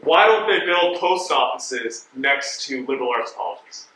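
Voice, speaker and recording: Bright, chirpy, smug male inspector. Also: mid-range voice, animated, a little slow. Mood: jokey. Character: chirpy, smug male postal inspector fond of corny jokes. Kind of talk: stage play acting. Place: theatre stage. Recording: echoey stage, faint noise.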